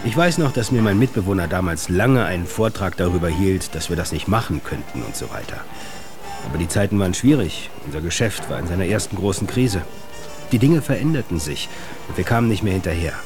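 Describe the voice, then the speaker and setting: An actor playing a self-justifying music industry manager, in a measured, explanatory radio monologue. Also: male voice, sits low, animated. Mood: reflective, rueful. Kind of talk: radio feature monologue and letter reading. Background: faint music.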